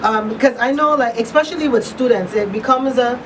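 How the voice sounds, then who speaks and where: A woman talk show host speaks in a conversational interview style. She sounds set back from the microphone, with clear steady noise behind her. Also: on the high side, brisk. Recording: distant mics, clear hum and background noise.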